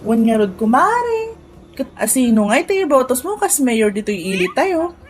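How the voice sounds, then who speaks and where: A woman acts out an excitable village neighbour in a radio comedy. She chats in a very high, animated voice that swings up and down, with music behind her. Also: moderate pace. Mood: surprised. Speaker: radio drama actor. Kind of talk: comedy radio drama acting. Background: background music.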